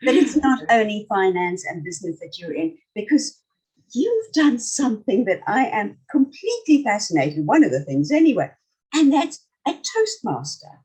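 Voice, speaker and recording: Older woman host with a husky voice. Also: medium pitch, sing-song, normal speed, a little mellow, rough recording. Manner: effusive, full of praise. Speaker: talk show host. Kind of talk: interviewing a guest.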